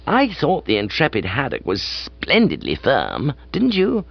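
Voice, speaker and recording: A man voicing an excitable, pompous upper-class English gentleman in a comic audiobook, breezy and self-satisfied. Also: medium pitch, a little slow, gravelly, no echo, lo-fi sound, voice pushed up, heavily acted. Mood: breezy, pleased.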